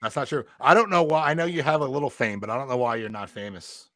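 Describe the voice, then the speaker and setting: A man's voice: mid-range voice, fast talker, sharp tone, no room sound, studio quality. A man hosting a casual chat, laid-back, swearing freely and laughing often. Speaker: host.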